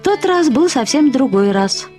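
Actress as an exuberant, high-swooping flighty young woman. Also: sing-song, a little slow, a little mellow, faint music behind. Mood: playful. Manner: gushing, playful, singsong.

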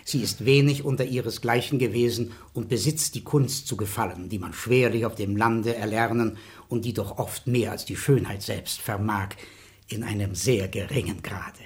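A man's voice: on the low side, normal speed, lightly acted. An actor in his fifties playing a courteous, effusive country baron in a radio drama. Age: 50s. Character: warm-hearted fifty-year-old male baron.

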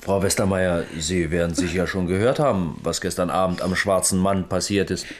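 An actor playing a calm, formal police lieutenant who questions persistently in a German radio crime drama. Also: male voice, sits low. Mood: measured, official. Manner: measured, probing, formal questioning.

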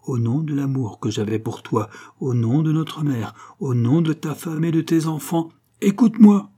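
A man's voice: mid-range voice, steady tone, normal speed, a little mellow, lightly acted. Man acting a proud, troubled young duke, emphatic. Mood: pleading. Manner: solemn, emphatic, imploring. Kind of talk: audiobook dialogue acting.

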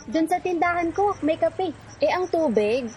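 A woman's voice: very high voice, lively intonation, normal speed, a little mellow. A woman playing a chatty, folksy shopkeeper in a radio drama, exclamatory, with noisy surroundings. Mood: joking. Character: supportive neighbourhood woman shopkeeper, chatty and indignant.

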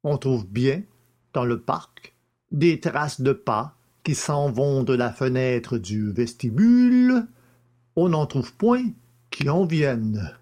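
A man plays a courteous examining magistrate in an audiobook mystery. The questions come slowly and deliberately, with strong swings of pitch. The manner is formal and methodical. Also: lively intonation, a little mellow. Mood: methodical, probing. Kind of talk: audiobook character acting.

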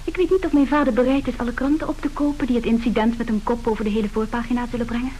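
A woman's voice: fairly high pitch, fairly even intonation, racing, a little mellow, close to an everyday voice, poor audio. An actress playing a bold, businesslike young woman from a wealthy family, pert and self-assured, in a radio play. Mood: pert, insistent. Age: young adult. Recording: hissy old radio drama recording.

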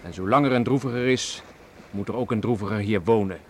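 An actor playing a loyal, steady young seafarer and farmer son in a Dutch radio drama, earnest and respectful. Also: male voice, lowish voice, brisk, natural voice. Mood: earnest, resolute. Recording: slightly noisy old radio play recording.

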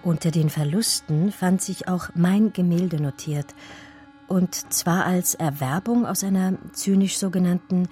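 A woman's voice: lowish voice, unhurried, warm tone, soft background music, natural voice. A woman playing an articulate, biting art historian who tells her own story in a radio drama. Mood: breathless discovery. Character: female art historian recounting her discovery in first person. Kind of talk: dramatic first-person radio play narration.

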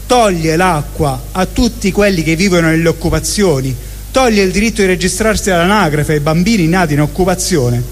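A man reading a satirical monologue live on stage. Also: on the high side. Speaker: stage performer. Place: indoor stage at a live event. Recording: reverberant hall, faint noise.

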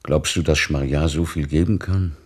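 An actor playing a weary, brooding old immigrant father in a radio play, with a gravelly, husky voice and a questioning manner. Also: a bass voice, fairly even intonation, normal speed, a little mellow. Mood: doubting, resigned. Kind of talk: literary radio play acting.

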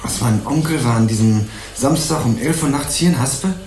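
Young student sleuth, played by a man: mid-pitched, courteous yet probing, crime radio drama, reverberant room with clear background noise. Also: moderate pace, lightly acted. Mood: urgent questioning. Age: young adult. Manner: courteous, hesitant, insistently questioning. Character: young male student, polite, probing amateur investigator. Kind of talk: 1980s German crime radio play dialogue.

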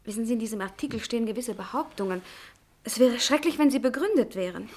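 Earnest, high-voiced young scholar. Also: female voice, animated, fast talker, darkish tone. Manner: polite, precise, earnest. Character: polite, earnest, impassioned young scholarly woman. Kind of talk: German radio play acting.

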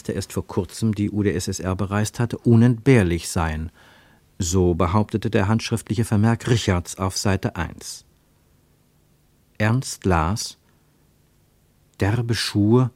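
Male narrator for literary radio drama: dark, relaxed tone, deliberate phrasing, dry studio sound. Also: fairly low pitch, no room sound.

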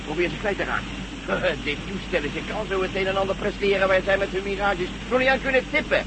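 A man's voice: high-pitched, fast. A man playing a cocky, sardonic pilot in a science-fiction radio play, wry and emphatic. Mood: excited, cocky. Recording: noisy old radio play, aircraft drone.

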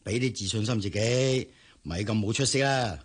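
A man's voice: fairly low pitch, moderate pace, close to an everyday voice. A man playing a bossy, money-minded middle-aged businessman father in a radio drama, nagging and exclaiming with a Hong Kong accent. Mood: bossy, scolding. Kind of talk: Cantonese radio drama acting.

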